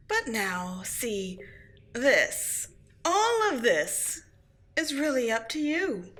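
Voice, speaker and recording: A woman playing a grandiose, scornful supervillain in an audio roleplay, gloating and taunting with drawn-out emphasis. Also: mid-range voice, sharp tone, full character voice. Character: theatrical female supervillain, gloating, scheming and scornful. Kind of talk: scripted audio roleplay acting.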